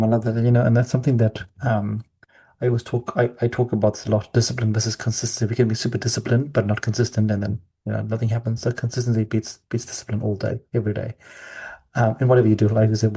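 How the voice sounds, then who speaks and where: A man's voice: fairly low pitch, flat delivery, quick pace, vocal fry, warm tone, husky. A man hosting a casual podcast conversation, rambling and repeating phrases for emphasis. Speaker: podcast host.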